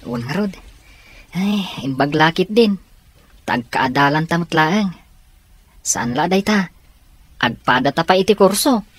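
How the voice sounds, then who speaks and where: Radio drama role: casual college classmate, Ilocano accent, low pitch, dry studio sound. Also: female voice, expressive, a little slow, pauses often, husky, no room sound. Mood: friendly. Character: Hana's friendly college classmate.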